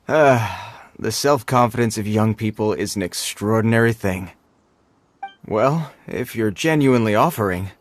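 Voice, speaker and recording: A man's voice: on the low side, takes it slowly, pauses often. A man playing a dutiful, stiffly courteous soldier in an anime video game, talking in a formal, official manner. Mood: humbly surprised. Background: game music.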